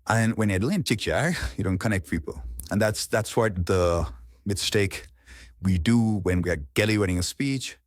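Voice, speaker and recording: A man's voice: lowish voice, normal speed, dry recording, studio quality. A man speaking as a coach, giving an informal, explanatory lesson on public speaking.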